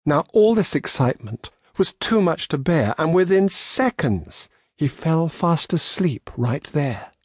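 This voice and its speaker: A man reading a rhyming children's story as an audiobook narrator, in a measured storybook delivery. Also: on the high side, big pitch swings, husky, no room sound, clean studio sound.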